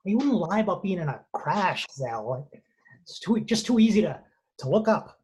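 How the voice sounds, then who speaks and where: A man plays a doubtful husband and TV writer. His voice is husky, and he dismisses things dryly and offhandedly, as in a table read. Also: high-pitched, sing-song, moderate pace, a little mellow, exaggerated voice. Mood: dry, dismissive. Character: skeptical, dismissive married male TV writer. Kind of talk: dramatic screenplay table read.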